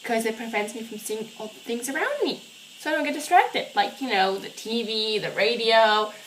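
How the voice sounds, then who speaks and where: Brief: woman as a patient puppet mom, calm explaining tone, high bright voice. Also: normal speed, slight background noise, natural voice, low-quality recording. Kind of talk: children's puppet show.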